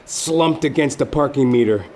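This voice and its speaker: A man plays a lecherous private eye telling his caper in a noir parody audio play. His middle-pitched voice stays deadpan and deliberate through florid, overblown description. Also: natural voice. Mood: wry deadpan. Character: wry, lecherous hardboiled male private detective narrator. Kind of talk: scripted noir-parody narration.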